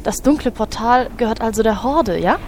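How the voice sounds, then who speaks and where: A woman's voice: a little high, animated, moderate pace, a little mellow, slight background noise. Snippy, defiant human leader, played by a woman. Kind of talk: parody fantasy audio drama.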